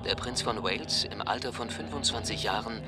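A man's voice: fairly low pitch, fairly quick, natural voice. A man narrating a historical chronicle in a formal reporting manner for a radio play. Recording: loud music under voice.

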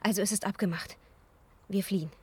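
A woman playing a young, impressionable opera singer, enraptured and fearful, in a breathy, intimate radio drama style. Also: mid-range voice, steady tone, a little fast. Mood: anxious, resolute. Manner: breathy, intimate, slipping into hushed whispers. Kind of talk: German radio drama acting.

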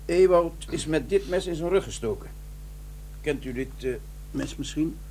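An actor playing a prim police detective who questions with hesitant fillers in a radio drama. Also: male voice, on the high side, moderate pace, warm tone, a little hiss or hum, close to an everyday voice. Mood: probing.

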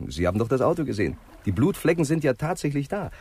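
An actor playing an earnest, deferential junior police inspector in a radio crime drama, speaking haltingly and tentatively. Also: male voice, mid-range voice, very animated, darkish tone, slight background noise. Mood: puzzled, earnest. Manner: halting, tentative, puzzling things out. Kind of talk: 1960s German radio crime drama acting.

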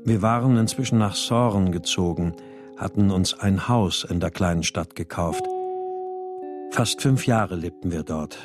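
An actor playing a brooding, grieving writer who narrates a radio drama in a subdued, introspective manner. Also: male voice, deep voice, unhurried, music in the background. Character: brooding married male writer, grieving missing daughter. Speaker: narrator and lead character. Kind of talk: first-person radio drama narration and dialogue.

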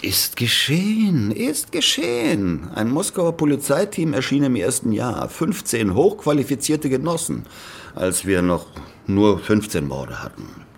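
An actor playing a wry, grimly resigned Soviet regional official in a German radio drama, with ponderous, theatrical phrasing. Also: male voice, medium pitch, huge pitch swings, unhurried, gravelly, husky, heavily acted. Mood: scornful, grim.

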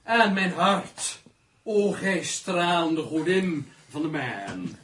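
A man's voice: fairly high pitch, big pitch swings, extremely slow, noisy background, heavily acted. An actor in a radio play playing a teasing, hard-drinking newspaperman with a pompous, mock-poetic way of talking. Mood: mock-romantic.

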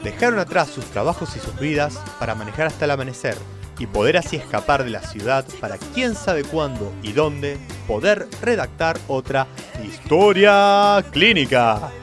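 An Argentine man narrates a radio comedy with mock solemnity. His fairly high voice swings widely in pitch, theatrical throughout, with music underneath. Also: expressive, moderate pace, a bit bright. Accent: Argentine, Rioplatense. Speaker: narrator and sketch performer.

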